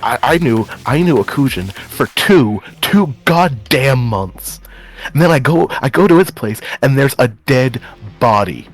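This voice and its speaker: A man's voice: medium pitch, expressive, measured pace, faint music behind. A man playing an angry, distraught, foul-mouthed demon slayer in an improvised anime roleplay, stammering and repeating words. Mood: agitated, accusing.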